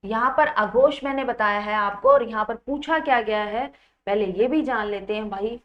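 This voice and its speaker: High-pitched woman teaching, dull recording. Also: normal speed. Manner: instructional, addresses students with questions and repeats key points. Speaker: teacher for exam preparation. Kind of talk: Hindi grammar lesson, explaining quiz questions.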